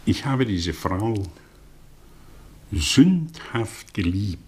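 Slow, dramatic, cynical professor. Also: male voice, fairly low pitch, very animated, husky, full character voice. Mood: confiding, intense. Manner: theatrical, declamatory, sardonic. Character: cynical, eloquent middle-aged professor, impassioned and haunted. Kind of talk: mid-century German radio play acting.

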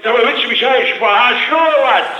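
A man having a spontaneous phone conversation as an irritated tradesman, blunt and gruff. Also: very high voice, fast talker, pushed and bright. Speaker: tradesman receiving a prank phone call. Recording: muffled echoey phone line, faint noise.

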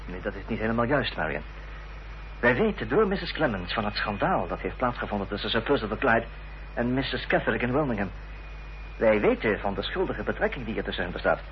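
An actor playing a determined gentleman investigator, formal and reasoning, in a period radio drama. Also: lowish voice, big pitch swings, brisk. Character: earnest, determined gentleman investigator in Victorian mystery. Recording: dull, noisy, humming old radio recording.

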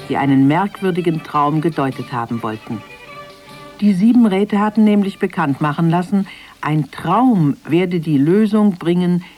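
A woman narrating a children's radio play as an expressive, emphatic storyteller. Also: sits low.

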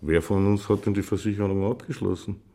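A man acting in a radio play as a slick, mocking, self-assured small-town official with a sardonic, smooth-talking manner. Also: on the low side, fairly even intonation, brisk, warm tone. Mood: irritated. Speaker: radio drama actor.

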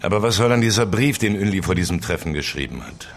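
An actor playing a gloomy, probing investigator in a naturalistic radio crime drama, speaking in a terse, dry, questioning way. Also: male voice, sits low, lively intonation, fast talker. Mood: pondering. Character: gloomy, probing male investigator with a troubled private life.

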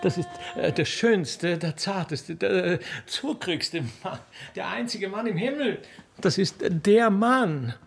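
A man voicing giddy little cupids, gossiping adoringly in soft, giggly whispers in a theatrical radio play. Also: sits high, expressive, takes it slowly, creaky, soft background music, full character voice.

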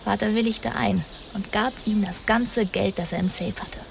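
An actress playing a guarded daughter who haltingly confesses a family secret in a radio crime drama. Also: female voice, mid-range voice, fairly even intonation, vocal fry, slight background noise. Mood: guarded, confessing. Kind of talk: dramatic radio play acting.

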